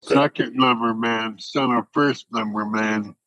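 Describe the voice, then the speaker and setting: An actor playing a gentle, simple-spoken Bigfoot in an audio play, talking in broken, childlike grammar. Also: male voice, mid-range voice, steady tone, measured pace, no echo, muffled recording. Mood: plain, earnest. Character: gentle simple-spoken Bigfoot, a shy giant wild creature.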